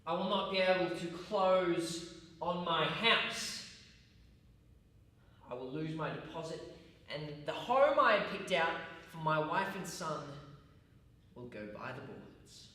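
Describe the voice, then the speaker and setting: A man performing a stage monologue as an earnest, self-justifying college teacher with formal, pedantic, halting phrasing. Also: a little high, lively intonation, bright, forceful tone, exaggerated voice. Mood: firm, aggrieved. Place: large echoey room at a college. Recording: echoey hall, distant mic, faint noise.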